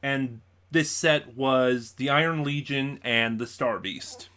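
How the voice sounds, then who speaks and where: A man's voice: mid-range voice, takes it slowly, sharp tone. A man talking casually as a vlogger in an unboxing video, rambling and over-explaining as he goes. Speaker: YouTube vlogger, collector and reviewer.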